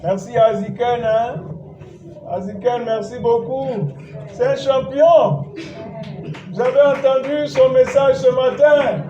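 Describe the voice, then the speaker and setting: A man's voice: very high voice, takes it slowly, over music. A man preaching a sermon as pastor, exhorting and calling for responses from the congregation. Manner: exhorting, repeats phrases, calls and responses with congregation. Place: church. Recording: echoey church hall, distant mic, dull sound.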